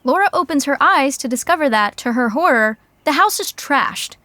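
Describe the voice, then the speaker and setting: A woman's voice: high-pitched, animated, moderate pace, pushed and bright, no room sound. A woman playing the wry narrator of a scripted sitcom.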